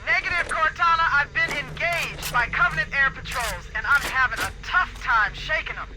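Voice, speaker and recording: Female dropship pilot, radio filter, clipped, tough, high-pitched, noisy transmission, video game. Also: normal speed. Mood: strained. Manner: clipped military radio talk.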